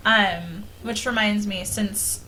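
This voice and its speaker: Young woman, podcast host, blunt and casual, medium pitch, close on a boom mic. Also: steady tone, slow, crowd noise, poor audio. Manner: blunt, sweary. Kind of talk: casual group chat about tabletop gaming. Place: small indoor room. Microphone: podcast microphone on boom arm.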